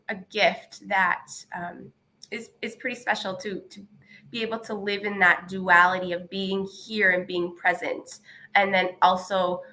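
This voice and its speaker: A woman speaking on a webinar panel, reflective and searching, pausing often with fillers. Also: mid-range voice, pushed and bright. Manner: thoughtful, searching, many pauses and fillers.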